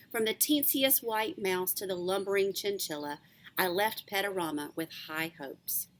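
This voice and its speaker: A woman, a teacher reading a children's book aloud in an expressive, animated storytelling way. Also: medium pitch.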